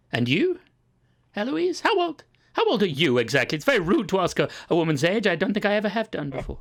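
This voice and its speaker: A woman's voice: sing-song, a little fast. A woman playing a gullible, flustered old man of eighty-four in improvised comedy, stammering over a video call. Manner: flustered, stammering, rising to anxious pitch.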